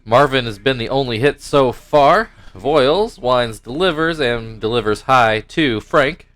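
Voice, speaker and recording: A young man with a stuffed-up nose talks casually over a baseball video game. His middle-pitched voice slips between rambling, self-correcting asides and mock play-by-play calls. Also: animated, a little slow, a bit bright. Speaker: gaming YouTuber commentating his own baseball game league.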